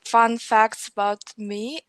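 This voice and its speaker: A woman's voice: a little high, extremely slow, a bit bright, no room sound. Russian woman, close and dry sound. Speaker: podcast guest, technical trainer. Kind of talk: conversational interview answers.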